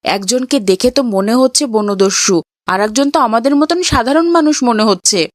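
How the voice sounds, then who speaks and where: Woman as young cartoon boy, principled, earnest, raised pitch, dry recording. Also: moderate pace, no room sound, close to an everyday voice. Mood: resolute. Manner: earnest, righteous. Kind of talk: cartoon voice acting.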